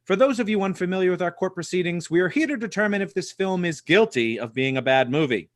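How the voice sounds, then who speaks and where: A man's voice: high-pitched, lively intonation, fast, no room sound, studio quality, pitched above the performer's own voice. A man playing a pompous courtroom judge. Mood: stately. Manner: formal, declaiming. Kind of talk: mock trial on a podcast. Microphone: video call.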